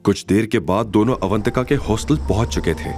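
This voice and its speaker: A man's voice: lowish voice, brisk. A man narrating an audio drama series in a dramatic, scripted storytelling style. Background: background music.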